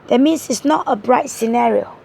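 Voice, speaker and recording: A hoarse woman talks through a tarot spread, explaining each card earnestly and point by point. Her voice sits high, with a heavy gravelly fry running through it, and a husky, breathy edge. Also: normal speed, darkish tone. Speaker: tarot reader. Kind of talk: tarot card reading monologue.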